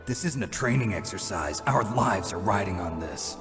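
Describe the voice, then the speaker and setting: A hardened game soldier, speaking in a very low register. He is terse, cynical and hard-boiled, and loud music plays under his lines. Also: lively intonation, moderate pace. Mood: stern, wry. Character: gruff, hardened male soldier, cynical lone operative. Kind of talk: video game voice acting.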